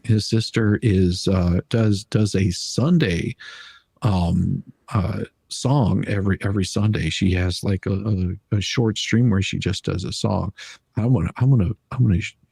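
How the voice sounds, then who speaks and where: A man with a husky voice hosting a casual live stream, often repeating and restarting his words as he talks. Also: lowish voice, fairly even intonation, a little slow, mellow, no room sound. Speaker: livestream host.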